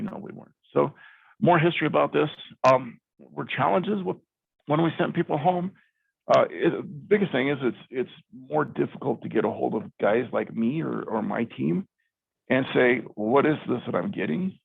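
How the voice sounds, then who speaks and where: A man's voice: mid-range voice, gravelly, husky, no echo. A middle-aged man running an IT firm gives a webinar talk on cybersecurity over a video call, in a loose, conversational way with many fillers and restarts. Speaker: IT company CEO giving a cybersecurity talk. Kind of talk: webinar presentation. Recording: phone-like dull sound.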